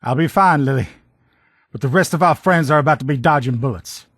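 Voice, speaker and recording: A man voicing a gruff, loyal military lieutenant in a video game, with blunt, profane, folksy soldier talk. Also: on the high side, a little fast, fairly bright tone, dry recording, studio quality. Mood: pained, determined. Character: gruff, loyal military lieutenant in power armor, protective comrade. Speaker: voice actor in a game mod. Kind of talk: video game character dialogue lines.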